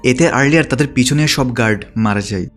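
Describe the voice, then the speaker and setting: A man reading a scripted story recap in a steady, continuous storytelling manner. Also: medium pitch, brisk, soft background music. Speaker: movie recap narrator. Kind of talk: scripted narration, plot recap.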